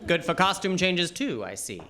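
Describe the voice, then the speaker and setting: An actor playing a wry, hardboiled private detective in an old-time radio play, with clipped noir delivery. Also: male voice, high voice, big pitch swings, normal speed, sharp tone, a little hiss or hum, exaggerated voice. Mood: probing. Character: wry, hardboiled male private detective, narrating his case. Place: live theatre stage.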